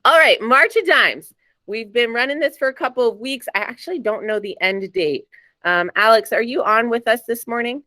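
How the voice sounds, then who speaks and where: Raspy-voiced woman hosting a meeting. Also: on the high side, normal speed, bright, forceful tone, no echo. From New Jersey. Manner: chatty, upbeat, frequent ums. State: raspy this morning. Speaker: sales meeting host. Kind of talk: casual meeting announcements.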